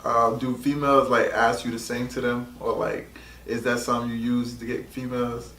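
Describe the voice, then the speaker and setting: A man's voice: medium pitch, level tone, moderate pace, fairly bright tone, far from the mic. Laid-back male host in an echoey room. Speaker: podcast host. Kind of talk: casual interview. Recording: roomy, off-mic, faint noise.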